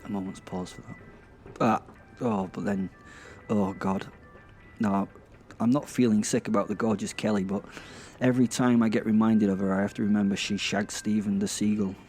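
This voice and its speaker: Rambling, irreverent werewolf narrator, low-voiced man acting. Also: level tone, moderate pace, a little mellow, lightly acted. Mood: irreverent, musing. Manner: rambling, conspiratorial, self-interrupting asides. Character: irreverent, chatty, starstruck male werewolf killer. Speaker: narrator and host. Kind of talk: first-person comic horror monologue. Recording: music behind the voice.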